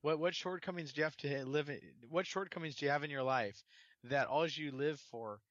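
A man's voice: a little high, brisk, a bit bright, dry recording, lo-fi sound. A man co-hosting a casual sports talk show, rambling and exasperated, often repeating words as he talks. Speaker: podcast co-host.

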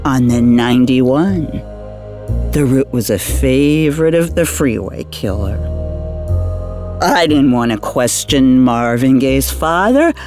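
An actor playing an older, world-weary retired homicide detective, brooding through a noir radio theater monologue. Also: male voice, medium pitch, expressive, a little mellow, faint music behind. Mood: grim, wry. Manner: brooding, deliberate noir storytelling.

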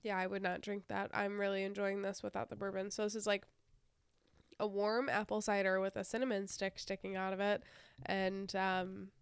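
A chatty woman co-hosting a podcast. Her voice is a bit nasal from a sinus infection, yet it stays very clear and smooth. The sound is dry and close, and she laughs and swears easily. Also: medium pitch, flat delivery, normal speed, dry recording. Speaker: podcast co-host. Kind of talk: casual podcast chat.

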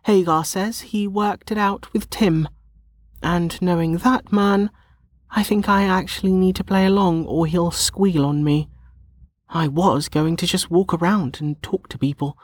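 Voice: mid-range voice, unhurried, darkish tone, dry recording, voice pushed up, lightly acted. A narrator voicing a flustered, indignant young woman who protests bluntly, in an audiobook. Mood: exasperated. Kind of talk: audiobook character dialogue.

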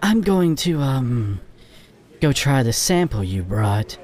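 A man's voice: mid-range voice, very animated, slow pace, a little mellow, pitched above the performer's own voice. A man voicing a playful, devious little lioness in a story reading, with a sweet, coaxing, eager manner. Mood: eager, sly. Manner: purring, coaxing, giggly.

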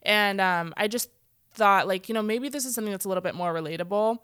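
Chatty woman with a smooth voice. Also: medium pitch, fast talker, fairly bright tone, dry recording, clean studio sound. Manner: chatty, many "like" fillers, acts out quoted speech. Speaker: guest speaker. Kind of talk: casual conversation. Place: church stage.

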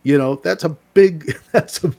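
A man speaking casually as a guest, halting and repeating himself as he explains. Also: fairly high pitch, gravelly, mellow, no room sound. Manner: halting, repeats himself, frequent "you know". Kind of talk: casual conversation.

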